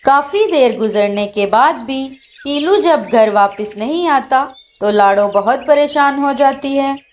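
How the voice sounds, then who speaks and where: A woman's voice: high-pitched, animated, slow pace, slight background noise. A woman narrating a scripted children's story.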